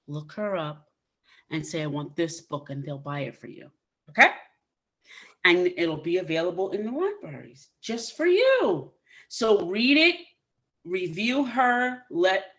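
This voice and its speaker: A woman hosting an interview podcast, talking enthusiastically and emphatically urging her listeners on. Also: lowish voice. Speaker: podcast host.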